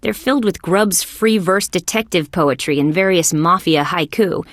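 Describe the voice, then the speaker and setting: A woman playing a wry, skeptical young female police detective in a video game, with a deadpan, sardonic delivery. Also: on the low side, moderate pace, no room sound, clean studio sound, lightly acted. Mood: dry, sardonic. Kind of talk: scripted game character lines.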